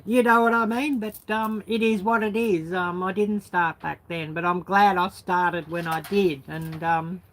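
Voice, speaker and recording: Lower-pitched woman, casual rambling vlogger. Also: fairly even intonation, unhurried, hardly any pauses, slight background noise. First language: English. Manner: rambling, colloquial, peppered with "you know" and "sort of". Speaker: YouTube vlogger. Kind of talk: casual, unscripted reminiscing chat.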